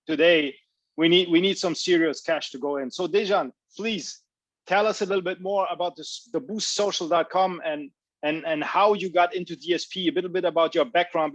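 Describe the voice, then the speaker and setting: Higher-voiced male podcast host, dry sound. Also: no room sound. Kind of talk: casual interviewing.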